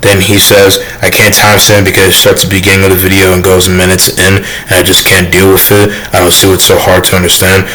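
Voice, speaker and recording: Soft-toned young guy ranting in his vlog, kinda bright tone, monotone, lots of likes and you knows. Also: deep voice, quick pace, few pauses, low-quality recording. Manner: rambling, defensive, filler-heavy. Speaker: YouTuber. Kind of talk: casual vlog rant.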